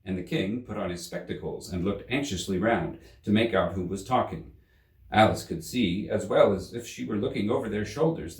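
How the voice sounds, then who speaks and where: A man reading a children's story aloud as an expressive storyteller. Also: fairly low pitch. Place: indoor room. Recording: roomy, slightly distant microphone.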